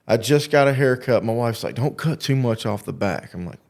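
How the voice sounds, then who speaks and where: A man's voice: medium pitch, animated, brisk, darkish tone. A man in his late thirties hosting a casual interview, chatting in a folksy, slangy way. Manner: folksy, slangy, says "dude" and "bro". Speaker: show host interviewing a guest. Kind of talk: casual chat.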